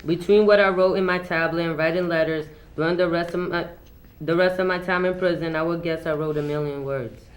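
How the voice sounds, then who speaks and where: Young teenage boy, fairly high voice, student dramatic reading aloud. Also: steady tone, a little fast, low-quality recording. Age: young teen, middle school student. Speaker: student reader.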